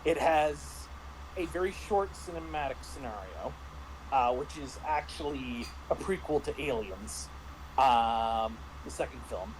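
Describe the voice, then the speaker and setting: A man in a casual review discussion, talking haltingly with repeated words and false starts. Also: medium pitch, animated, background noise. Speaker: panelist reviewing a tabletop roleplaying game.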